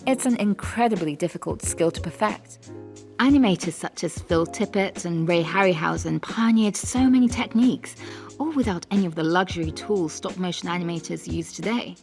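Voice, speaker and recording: A woman vlogger answers questions in a casual, lightly scripted way. Her voice has some creak and sits at an average pitch, with music clearly playing behind it. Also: normal speed, a little mellow. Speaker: vlogger and animator hosting a Q&A.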